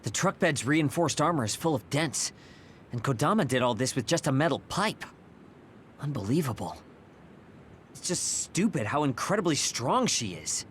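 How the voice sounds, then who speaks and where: A man's voice: medium pitch, very animated, moderate pace, fairly bright tone, slight background noise. A man playing a blunt, prickly young soldier in an anime-style video game, snippy and scornful.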